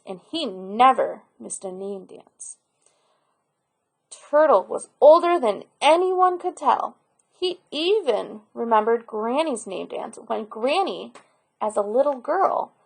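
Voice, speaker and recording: A woman narrating a children's picture book aloud in an expressive storyteller style. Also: on the high side, takes it slowly, vocal fry, slightly rough, low-quality recording.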